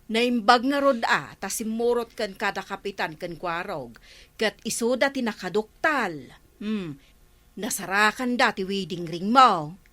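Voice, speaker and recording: Slow, lilting village gossip woman. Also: mid-range voice, animated, a bit bright. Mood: gushing relief. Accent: Ilocano. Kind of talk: Ilocano radio comedy drama acting.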